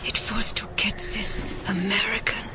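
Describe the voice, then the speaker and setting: An actress playing a shaken, dedicated female scientist in an audio drama, speaking in a slow, hushed way. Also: medium pitch, flat delivery, lightly acted. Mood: haunted, shaken. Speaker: voice actor. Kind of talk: modern audio drama acting. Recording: dramatic music under the voice.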